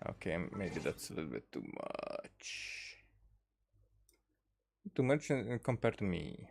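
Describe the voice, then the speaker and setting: A man livestreaming a game in a casual, rambling way, often halting and trailing off mid-thought. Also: low-pitched, sing-song, creaky, background noise. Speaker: game streamer. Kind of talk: casual livestream chat over gameplay.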